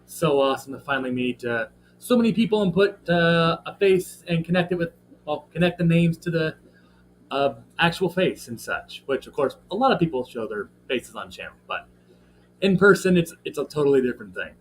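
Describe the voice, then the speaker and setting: A man's voice: a little high, lively intonation, fairly quick. Slightly scratchy man chatting on a livestream. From American Midwest. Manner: rambling, filler-heavy, friendly shout-outs to chat. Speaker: livestream host. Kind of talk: casual live stream chat.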